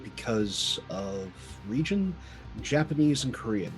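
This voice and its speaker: Male player, mid-pitched, careful and hesitant, checks the rules, faint music behind. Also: very animated, rough recording. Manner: measured, hesitant with ums, rules-minded. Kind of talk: casual chat while building a character.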